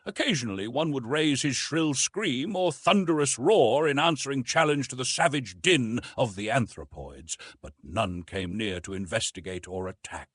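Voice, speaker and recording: A man narrating a classic adventure novel as an audiobook, in a theatrical, measured and emphatic way. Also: mid-range voice, fairly bright tone, slightly rough, no room sound, studio quality.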